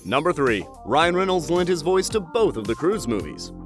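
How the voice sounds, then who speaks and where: A man's voice: fairly high pitch, big pitch swings, normal speed, over music. A man reading a scripted pop-culture countdown as a video narrator.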